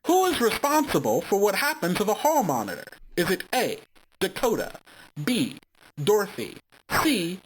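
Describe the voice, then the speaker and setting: A man voicing a smarmy male game-show host inside an animated screen, with a mocking, theatrical delivery. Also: high voice, normal speed, slight background noise, pitched above the performer's own voice, rough recording. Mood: game-show taunting.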